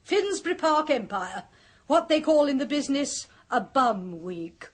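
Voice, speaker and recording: A theatrical middle-aged sister with a bright, high voice that leaps all over the place. She mocks and teases in grand stage tones, a radio-play ham through and through. Her asides drip with theatrical amusement. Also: very animated, measured pace. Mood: hammy. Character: flamboyant hammy middle-aged actress sister. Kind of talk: 1970s radio drama acting.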